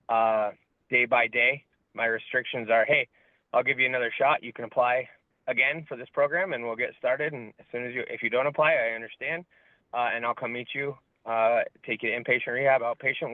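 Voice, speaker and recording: A man's voice: medium pitch, fairly even intonation, brisk, no room sound. Male program leader, earnest and plain-spoken, mid-range voice, thin lo-fi call audio. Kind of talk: informal explanation on a group call. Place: online video call. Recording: muffled narrow-band audio.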